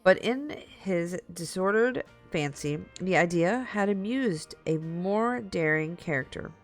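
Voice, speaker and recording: A woman streamer reading a story aloud in a dramatic, halting way, slipping into casual asides. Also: lowish voice, expressive, soft background music. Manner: halting, repeats and corrects stumbled words.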